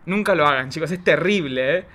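A man with an Argentine accent in casual chat, telling stories in an animated, campy, gossipy way. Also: fairly high pitch, brisk. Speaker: drag queen podcast guest.

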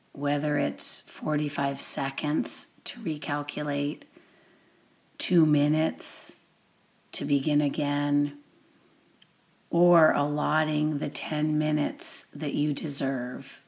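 A woman giving a guided meditation introduction as an instructor, with deliberate, measured phrasing and long pauses. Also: sits low, gravelly.

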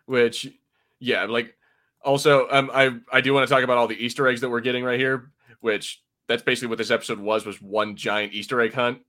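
Male, American, bright edgy tone at medium pitch. Casual, rambling fan-commentary co-host. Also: steady tone, a little fast. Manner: rambling, informal. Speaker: podcast co-host reviewing a TV episode.

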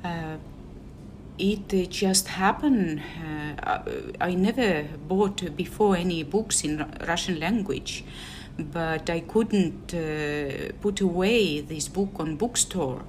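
Slow hesitant Estonian-accented woman. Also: fairly low pitch, darkish tone, slight background noise. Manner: hesitant, many filler sounds. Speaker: guest speaker. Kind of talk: recorded personal message.

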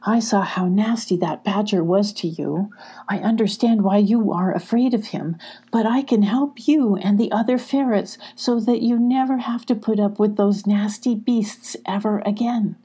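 A kind female fox in a children's story, voiced by a woman reader. She speaks in a mellow, husky-soft voice. Her manner is reassuring and coaxing. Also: mid-range voice, normal speed, natural voice. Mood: gentle, coaxing. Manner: gentle, coaxing.

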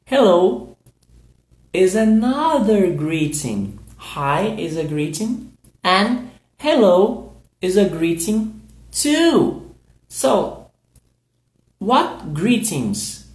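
A man from Brazil with a Brazilian Portuguese accent, a podcast host teaching English on a livestream, speaking very deliberately and repeating words for learners to echo. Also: high voice. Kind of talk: slow teaching talk, drilling phrases for learners. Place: recorded on a livestream.

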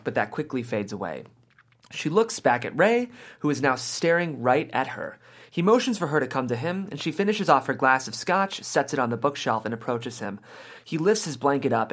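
Narrator reading out script stage directions, medium pitch, table read. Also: a bit bright.

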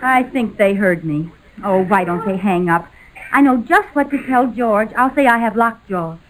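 An actress playing a frantic, scheming housewife in a 1940s radio comedy, exclaiming and melodramatic. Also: female voice, medium pitch, moderate pace, lightly acted. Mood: exasperated. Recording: noisy old 1940s radio recording.

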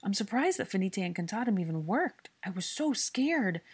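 A woman's voice: mid-range voice, very animated, moderate pace, darkish tone, breathy edge, voice pushed up. A woman voicing an emotional young witch in an audiobook, urgent, tearful and pleading. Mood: tearful, apologetic. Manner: tearful, breathless, urgent outbursts.

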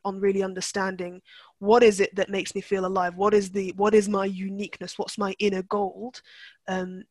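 A young woman from Turkey talks through her ideas in a relaxed interview, circling back and restarting her sentences as she thinks aloud. Her voice sits at an ordinary middle pitch. The recording is dry and close, with no room sound. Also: dry recording. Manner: reflective, with frequent restarts and fillers. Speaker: guest, NLP practitioner. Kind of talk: conversational podcast interview.

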